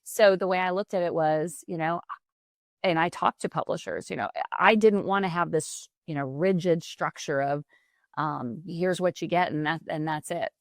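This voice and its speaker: Woman publisher guest on a podcast, quick animated chatter, dry close sound. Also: low-pitched, no room sound. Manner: animated, quick, frequent restarts. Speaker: publishing company CEO, guest. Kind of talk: casual podcast conversation.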